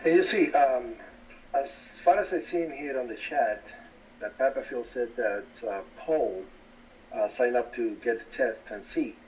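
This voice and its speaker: A man speaking casually on a live stream in a halting, repetitive way. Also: medium pitch, darkish tone. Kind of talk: casual live commentary on the chat. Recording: dull distant radio-like link, faint noise.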